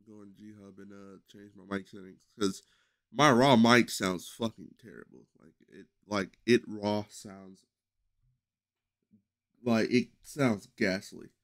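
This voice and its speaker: A young man with a low voice chatting loosely to his stream audience from a headset in his bedroom, rambling with many fillers and restarts. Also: takes it slowly. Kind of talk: casual live-stream chat. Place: small bedroom streaming setup indoors.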